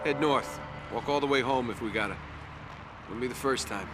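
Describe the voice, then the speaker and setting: An actor playing a gruff, commanding resistance squad boss in a video game, blunt and urgent. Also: male voice, mid-range voice, normal speed, noisy background, lightly acted. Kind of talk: video game cutscene acting.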